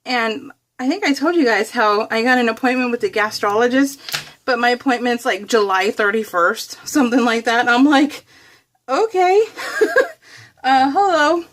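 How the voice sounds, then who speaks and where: A woman's voice: on the high side, normal speed. An American woman chatting casually to viewers as a craft vlogger, giggly and often laughing through her words, wandering off on tangents. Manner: self-deprecating, wanders off topic. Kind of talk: casual, rambling chat to viewers.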